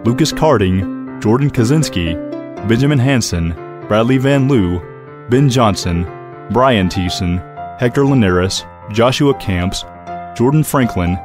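Low-voiced male announcer over music. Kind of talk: scripted credits narration.

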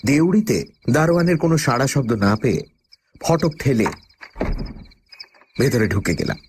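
Male actor as plucky proud traveller, lively pitch swings, dramatic first-person ghost-story narration, faint noise behind. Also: moderate pace, natural voice. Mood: suspenseful.

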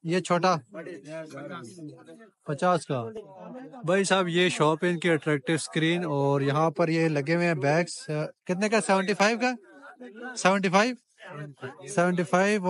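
A man's voice: high-pitched, fairly even intonation, moderate pace, pauses often, fairly bright tone. A man giving a chatty walking-tour vlog of a street market, talking straight to viewers and bargaining with shopkeepers as he films. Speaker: travel vlogger.

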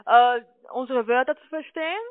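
A woman's voice: high-pitched, moderate pace, no echo. A woman speaks through a tinny, band-limited telephone connection with no room sound. She has a foreign accent and searches for words as a non-native speaker. As a call center agent, she argues her side insistently. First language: not German. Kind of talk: phone sales call, arguing. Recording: narrow tinny phone line.

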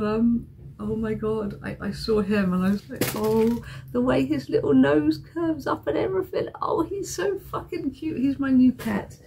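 A woman's voice: high voice, creaky, dark tone, slight background noise. A young woman vlogging from a hotel room, rambling and giggly, hungover and getting a sore throat. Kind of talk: casual vlog chat.

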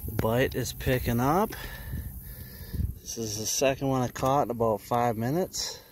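A man's voice: mid-range voice, measured pace, a little hiss or hum. A man in his thirties casually narrating a fishing trip, folksy and chatty, out on a lake in a kayak. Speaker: fishing vlogger. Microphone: action camera.

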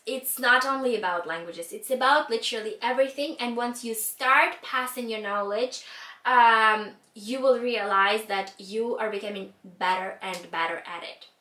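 A woman's voice: fairly high pitch, normal speed, sharp tone, far from the mic. A young woman in her twenties with a Ukrainian accent, talking to camera about learning languages and gesturing expressively with her hands. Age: 20s. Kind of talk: scripted talk to camera. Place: indoor room with a rug backdrop. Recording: roomy echo, voice a little off-mic.

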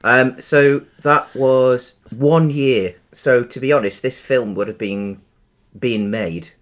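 A man's voice: medium pitch, takes it slowly, a bit bright. A man in his thirties, co-hosting a casual film-review chat from home over a video call, easygoing and rambling with many ums. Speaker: podcast co-host. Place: home room.

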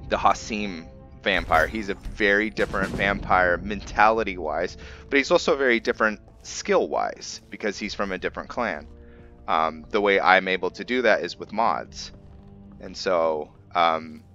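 A man giving casual commentary on his roleplay playthrough, haltingly thinking aloud as he goes. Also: low voice, bright, forceful tone. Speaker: gamer narrating a roleplay playthrough.

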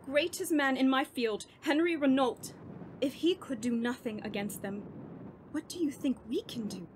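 A grave, fatalistic woman doctor acted by a woman speaks in a high, earnest voice, resigned to supernatural doom. It is horror radio drama with clearly noisy ambience behind her. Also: lively intonation, normal speed. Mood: grim resignation.

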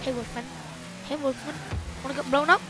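A woman giving loud, excitable, casual commentary while playing a video game, exclaiming often. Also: high-pitched, brisk. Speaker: gameplay commentator. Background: game music.

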